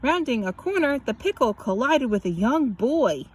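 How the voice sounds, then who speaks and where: A woman reads a picture book aloud as scripted narration, animated and drawing out words for emphasis. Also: fairly high pitch, moderate pace, slightly rough. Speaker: children's story reader.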